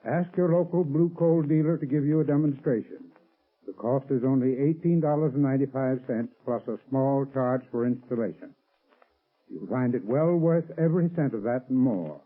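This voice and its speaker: Formal man on tinny vintage radio. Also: mid-range voice. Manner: measured, instructive, formal. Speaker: sponsor's heating expert. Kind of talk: scripted 1930s radio advertising talk. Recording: tinny band-limited vintage radio recording.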